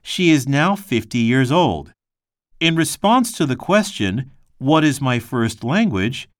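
Man, scripted lesson reading, animated pitch, dry close sound. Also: slow pace, no echo. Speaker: textbook reader.

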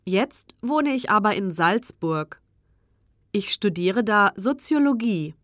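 A woman reading a textbook self-introduction with clear, careful delivery. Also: medium pitch, expressive, takes it slowly.

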